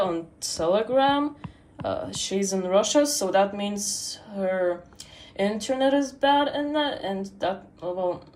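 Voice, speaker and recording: A teenage girl talks slowly through a casual livestream, trailing off and restarting her sentences. Her voice sits at a middle pitch, and a faint ambient hiss runs underneath. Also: a little mellow, low-quality recording. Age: teens. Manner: hesitant, full of fillers and restarts. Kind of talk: rambling casual stream chat.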